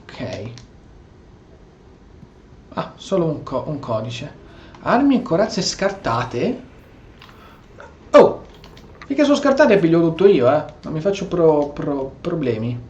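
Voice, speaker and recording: A man's voice: a little high, sing-song, measured pace, long pauses, darkish tone. A man with an Italian accent giving casual, theatrical gameplay commentary as a streamer, reading game text aloud in role. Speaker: gaming streamer. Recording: muffled, echoey, faintly noisy room.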